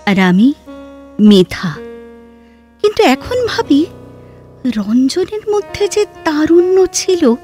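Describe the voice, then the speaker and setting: A woman playing a reflective, scholarly, sorrow-laden heroine in an audio drama, speaking in a measured, lyrical way with expressive pauses. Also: sits high, soft background music, exaggerated voice. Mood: earnest, wistful. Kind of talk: Bengali audio drama dialogue.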